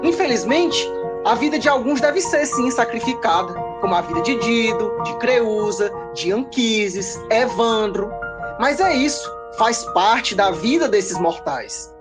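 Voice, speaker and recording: A woman's voice: fairly high pitch, animated, a little slow. A woman playing a haughty, self-justifying male king of the gods in a pompous, declamatory comedy audio drama. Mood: cold, haughty. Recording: music behind the voice. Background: music.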